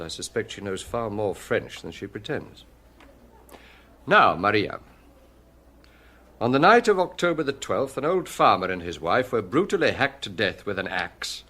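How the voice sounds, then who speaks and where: Relentless police detective, swooping delivery. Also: male voice, medium pitch, big pitch swings, bright, forceful tone. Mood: cold, methodical. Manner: insistent, sardonic, deliberate. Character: relentless, hard-edged male police detective interrogating a suspect. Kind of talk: radio drama acting.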